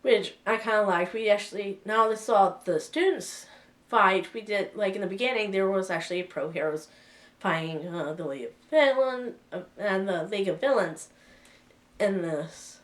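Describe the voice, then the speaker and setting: A woman's voice: medium pitch, moderate pace, far from the mic. A woman with a cold giving a casual spoken review, hesitant, with many fillers and restarts. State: sick with a cold. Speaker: vlogger reviewing a film.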